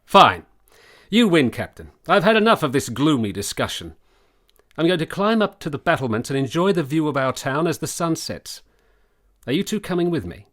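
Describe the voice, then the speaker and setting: An actor playing a genteel, good-humoured doctor in a period radio drama, with a theatrical, musing manner. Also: male voice, on the high side, very animated, normal speed, fairly bright tone. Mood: jovial, teasing.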